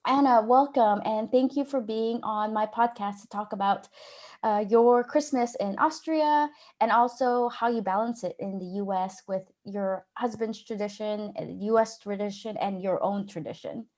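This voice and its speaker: A woman's voice: mid-range voice, moderate pace, darkish tone. A woman hosting a podcast, talking in a conversational interview style with her guest. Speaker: podcast host.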